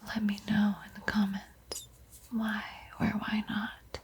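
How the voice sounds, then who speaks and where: A woman giving an ASMR art tutorial in a breathy whisper, with a soft, unhurried, playfully eerie and teasing manner. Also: medium pitch, monotone. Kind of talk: whispered ASMR painting tutorial with spooky roleplay.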